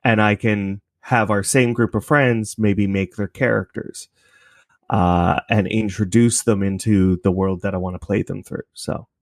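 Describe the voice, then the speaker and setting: A man's voice: lowish voice, fairly even intonation, unhurried, dry recording, clean studio sound. A man answering casually as a guest in an interview. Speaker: interview guest, podcast creator.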